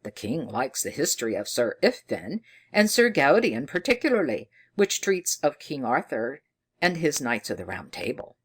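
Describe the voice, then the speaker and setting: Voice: medium pitch, sing-song, normal speed, breathy edge. A narrator voicing an eager, jovial male scholar-clergyman in a storybook, bookish and emphatic. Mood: eager, cheerful.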